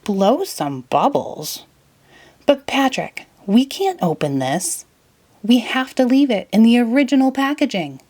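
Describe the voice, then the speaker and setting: A woman's voice: on the high side, big pitch swings, unhurried, darkish tone, pitched above the performer's own voice. A woman voicing an eager, earnest, cheerful male cartoon sea sponge for a storybook. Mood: earnest, fussy. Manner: eager, exclamatory, fussy.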